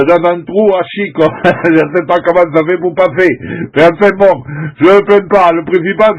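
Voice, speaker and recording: Man from France, middle-aged, high-pitched voice, informal CB radio chat, muffled band-limited sound. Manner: informal, chatty, uses radio jargon. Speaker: amateur CB radio operator. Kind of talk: casual CB radio contact chat.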